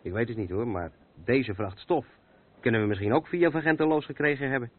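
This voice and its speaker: An actor the playing a seasoned, patient truck driver who explains things matter-of-factly in a radio play. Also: male voice, mid-range voice, fairly quick, lightly acted. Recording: tinny, noisy old radio recording.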